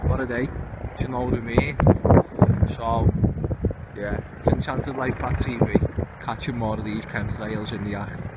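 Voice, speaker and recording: A man's voice: medium pitch, level tone, normal speed, pauses often. A man giving casual, rambling, conspiratorial commentary as an amateur video host. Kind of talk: casual off-the-cuff vlog commentary. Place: outdoors in the city. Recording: very noisy outdoor recording, wind, traffic. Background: loud wind and vehicle noise.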